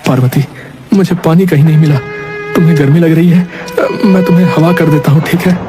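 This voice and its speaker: A man's voice: a little high, fairly even intonation, a little fast, natural voice. Man acting an earnest, poor village husband. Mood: anxious, tender. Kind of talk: acted dialogue in a Hindi cartoon moral story. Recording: music behind the voice.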